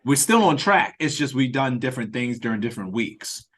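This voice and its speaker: A man leading an online meeting in casual chat, speaking informally and haltingly as he thinks aloud. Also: medium pitch, lively intonation, a bit bright. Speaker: writer-director leading the meeting. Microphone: video call.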